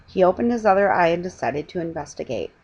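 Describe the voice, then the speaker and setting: Woman reading a kids story out loud, kinda low voice, bit halting, muffled lo-fi home recording. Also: sing-song, breathy edge. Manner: halting reading with stumbles and self-corrections. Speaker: reader. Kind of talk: storybook read-aloud. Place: small living room at home, indoors. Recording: dull lo-fi webcam sound.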